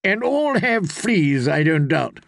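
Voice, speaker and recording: A man narrating puts on a sardonic storybook knight. The voice sits high for a man and swings freely in pitch. The knight jests and mocks as he talks. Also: sing-song, slow pace, creaky, full character voice. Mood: jaunty, wry. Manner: sardonic, jesting. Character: sardonic, mocking adult male knight.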